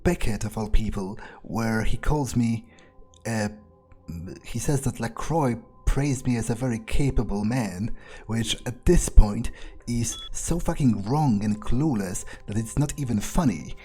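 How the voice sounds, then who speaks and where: A man playing a cold, vengeful vampire obsessed with his authority, improvising deliberately and wryly in character for a game playthrough. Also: mid-range voice, mellow, slightly rough, soft background music. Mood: cold, scheming. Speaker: gameplay roleplayer.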